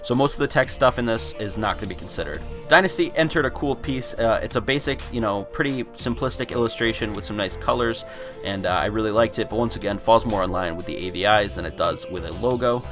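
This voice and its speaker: A man's voice: on the low side, fast, music in the background. A young man with a cold, chatting casually over a screen recording as he reviews fan art, rambling and repeating words. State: congested from a cold. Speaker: gaming YouTuber.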